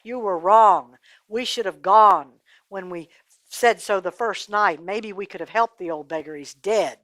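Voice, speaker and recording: A woman's voice: medium pitch, measured pace, fairly bright tone, no room sound, lightly acted. A woman voicing a regretful, kind-hearted male servant in a Bible story, plain-spoken and sorrowful. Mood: regretful, reproachful.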